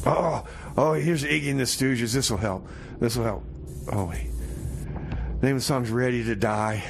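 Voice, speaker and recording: Comedy radio theater: male actor as sad-sack male music host, halting and downcast, average pitch, noisy recording. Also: gravelly. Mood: glum, rambling. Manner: halting, dejected, sighing, repeating himself. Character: glum, self-pitying, hapless male radio DJ Rebar.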